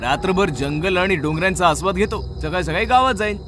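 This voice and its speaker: A man's voice: sits high, fairly quick, soft background music. A man voicing an adventurous young male traveller in a horror story, speaking in casual slang. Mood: eager. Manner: excited, casual, friendly slang. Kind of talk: dramatised horror story dialogue.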